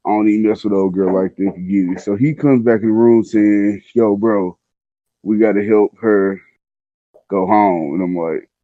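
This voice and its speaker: A man's voice: on the low side, mellow, dry recording, highs cut off. A man telling a personal story in casual slang, laid-back and conversational, acting out the dialogue as he goes.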